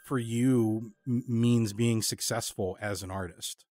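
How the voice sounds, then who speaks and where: A man hosting a casual podcast interview, talking candidly in a halting, reflective way. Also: mid-range voice, fairly even intonation, warm tone, dry recording, studio quality.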